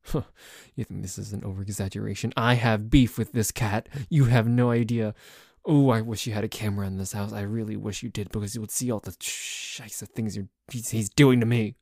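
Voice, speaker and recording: A man's voice: on the low side, steady tone, a little fast, vocal fry, a little mellow, dry recording, clean studio sound. A man playing a dorky, jealous boyfriend in an ASMR roleplay, complaining in a stammering, exasperated way that sometimes drops toward a whisper. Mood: aggrieved, dramatic. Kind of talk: ASMR roleplay audio drama.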